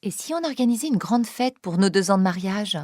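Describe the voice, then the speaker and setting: A woman's voice: medium pitch, lively intonation, fairly quick, darkish tone, no room sound, studio quality, close to an everyday voice. A woman playing an eager, fun-loving wife in an acted dialogue for a listening exercise. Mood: enthusiastic. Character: wife eager to plan a fun anniversary party.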